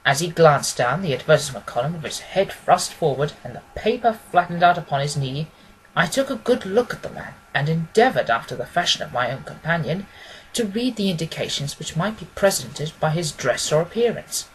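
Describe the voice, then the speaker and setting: A man's voice: on the high side, sing-song, fairly quick, slight background noise, low-quality recording. A man reading classic fiction aloud as scripted literary narration. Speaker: audiobook reader.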